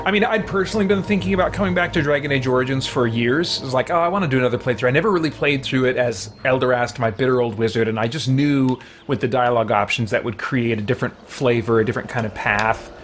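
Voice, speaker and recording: A man's voice: mid-range voice, quick pace, few pauses, a little hiss or hum. A man chatting casually and a little self-effacingly while livestreaming a game. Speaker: game streamer.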